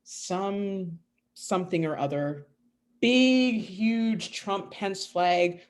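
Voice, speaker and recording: A woman's voice: mid-range voice, drawn-out words. A woman from Canada, a guest on a podcast, talking casually and emphatically, telling stories with bursts of laughter. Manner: emphatic, animated storytelling with laughs. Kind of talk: casual conversation.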